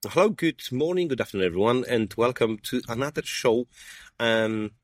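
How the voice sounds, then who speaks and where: A man hosting a casual interview on a rooftop, talking in halting bursts with many fillers and restarts. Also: mid-range voice, expressive, background noise. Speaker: podcast host. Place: sunny rooftop at a conference.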